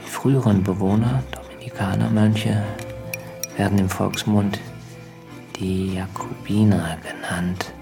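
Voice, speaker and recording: A man narrates a radio drama very slowly in a low, gravelly voice. He is deliberate, breaking sentences into short phrases, with music behind him. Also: fairly even intonation.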